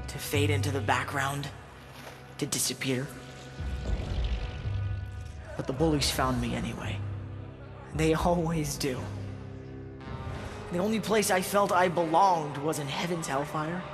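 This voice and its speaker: An actor playing a timid, bullied young American man in a video game, speaking haltingly. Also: fairly high pitch, over music. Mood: wounded, reflective. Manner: hesitant, halting. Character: timid, bullied young gamer recluse with powers. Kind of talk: video game acting, brooding narration.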